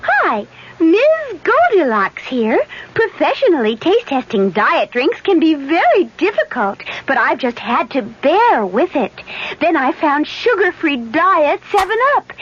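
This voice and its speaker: A woman's voice: very high voice, full character voice. A woman voicing a sweet fairy-tale girl in a playful radio commercial, on a muffled old broadcast recording. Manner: bright, playful ad delivery.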